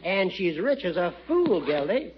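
A stuffy, snobbish old judge. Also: male voice, high voice, sing-song, unhurried, over music. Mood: prim. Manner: fussy, prim. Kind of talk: 1940s radio acting. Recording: dull old radio recording.

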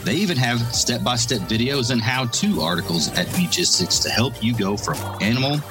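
A man reading a scripted commercial with smooth pitchman delivery. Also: expressive, normal speed, music in the background. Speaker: ad announcer. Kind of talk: scripted ad read.

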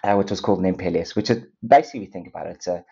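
A man's voice: low voice, rapid-fire, vocal fry, mellow, slightly rough. A middle-aged man with a South African accent, a company co-founder chatting as a guest, rambling with many restarts and easy laughs. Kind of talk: casual interview talk. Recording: dull lo-fi sound.

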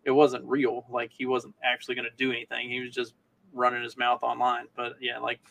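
A man's voice: medium pitch, completely flat delivery, brisk, creaky, a bit bright. A man co-hosting a podcast, talking in a loose, casual, dryly joking way. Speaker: podcast co-host. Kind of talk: casual chat.

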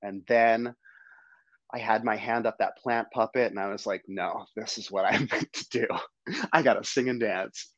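Animated man with a low voice. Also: normal speed, dry recording, lo-fi sound. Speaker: guest. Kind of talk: casual podcast chat.

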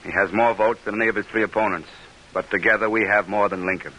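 An actor playing a middle-aged senator, grave, earnest and formal in delivery, in an old-time radio drama. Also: male voice, fairly low pitch, steady tone, fast, pushed and bright, rough recording. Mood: troubled, resigned. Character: defeated middle-aged senator, earnest, weary, devoted statesman. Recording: hissy vintage radio broadcast recording.

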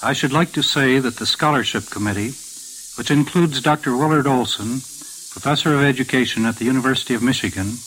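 An American man, a professor, gives a measured, formal prepared address on a hissy 1940s radio broadcast. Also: mid-range voice, a bit bright, poor audio. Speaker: professor, scholarship committee chairman.

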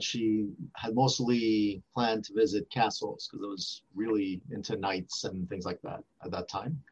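A man in his forties whose first language is French, talking as an interview guest with many fillers and restarts. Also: on the low side. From Montreal, Canada. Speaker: archaeology professor, interview guest. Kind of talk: casual interview talk. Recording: dull lo-fi sound.

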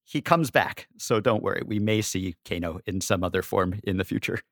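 A man's voice: low voice, moderate pace, fairly bright tone, dry recording, studio quality. A man talking casually as a guest in a podcast discussion of films and games. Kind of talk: casual film and game discussion.

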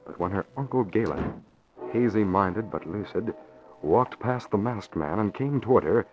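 A man narrating a 1940s radio drama in a measured, dramatic manner. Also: on the low side, animated, soft background music. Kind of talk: scripted narration. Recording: dull old radio recording.

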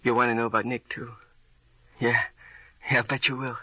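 An actor playing a bitter, brittle seventeen-year-old city boy in an old radio crime drama, halting and needling. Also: medium pitch, level tone, dry recording, lightly acted. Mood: sly, insinuating. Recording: dull, dry old radio recording.

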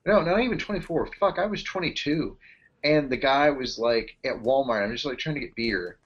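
A man's voice: mid-range voice, normal speed, fairly bright tone, husky. A young man tells a wry story while chatting casually on a podcast. He swears freely. His voice comes through a bit muffled and dull. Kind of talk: casual chat.

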